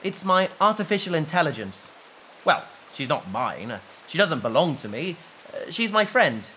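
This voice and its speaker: Man playing an earnest, bright-voiced space researcher. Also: on the high side, very animated, moderate pace, a little hiss or hum. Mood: earnest, hopeful. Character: earnest, naive human male space researcher. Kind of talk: sci-fi audio drama acting.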